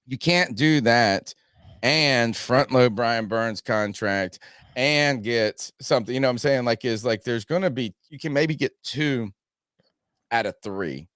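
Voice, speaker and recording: A man's voice: mid-range voice, a little slow, fairly bright tone, no room sound, clean studio sound. A man hosting a casual sports podcast, rambling through his points and swearing freely. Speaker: podcast host.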